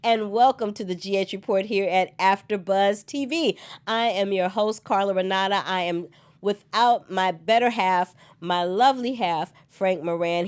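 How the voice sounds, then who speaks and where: A woman hosting an online soap opera recap on her own, slightly hoarse from a cold, animated and chatty and laughing often. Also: mid-range voice. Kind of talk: solo casual recap chat.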